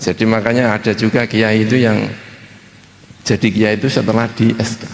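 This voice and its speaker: A man's voice: lowish voice, flat delivery, moderate pace, a little hiss or hum. A middle-aged man giving an informal, joking religious talk as a cleric. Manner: self-deprecating, joking, conversational. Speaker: Islamic cleric (kiai). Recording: echoey hall with long reverb.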